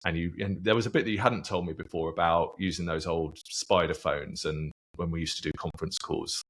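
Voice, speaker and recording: A man hosting a podcast interview, asking relaxed, rambling questions. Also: sits low, a little fast. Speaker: podcast host. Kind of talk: casual interview chat.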